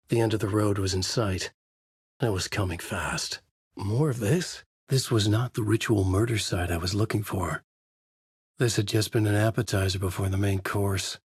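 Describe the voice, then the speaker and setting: A man playing a brooding, haunted writer in a video game, narrating a dark noir thriller in grave, clipped, dramatic phrases with a husky voice. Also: on the low side, no room sound, clean studio sound. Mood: bewildered, troubled. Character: brooding, haunted male writer trapped in a nightmare.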